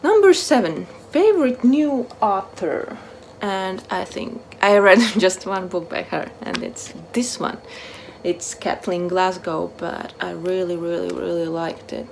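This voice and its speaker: A woman book vlogger chatting casually to camera in short, halting phrases that trail off softly. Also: on the low side, vocal fry, a little hiss or hum. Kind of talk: casual chat to camera, answering a book tag.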